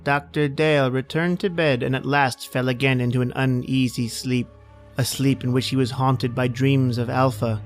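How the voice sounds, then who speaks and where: A man narrating a scripted old-time radio horror story. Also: mid-range voice. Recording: music under the voice. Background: music.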